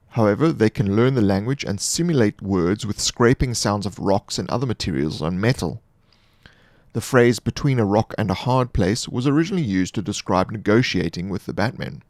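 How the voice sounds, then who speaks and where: Guy with a lowish voice reading game lore, formal and measured, really dry close sound. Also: darkish tone, no echo. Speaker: narrator of tabletop game monster lore. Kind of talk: scripted narration.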